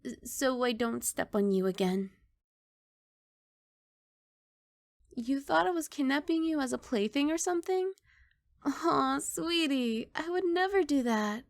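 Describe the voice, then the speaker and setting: Woman voicing a high, gentle giant dragon girl. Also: lively intonation, moderate pace, gravelly, dry recording. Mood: reassuring, gentle. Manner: soft, coaxing, reassuring, slightly bashful. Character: kindly young giant dragon girl, gentle and eager college student. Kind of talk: ASMR roleplay.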